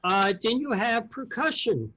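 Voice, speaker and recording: Slow-talking man explaining his craft. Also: very high-pitched, very animated, bright, forceful tone. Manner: halting, searching for words. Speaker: theatre sound effects artist. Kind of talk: informal explanation.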